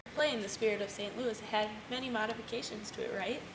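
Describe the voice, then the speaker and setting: Echoey, music behind. 1920s roving reporter played by a woman, high voice, scripted amateur interview style. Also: steady tone, quick pace, background noise, lightly acted. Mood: inquisitive. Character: bright-mannered female 1920s field news reporter. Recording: roomy distant mic, music behind.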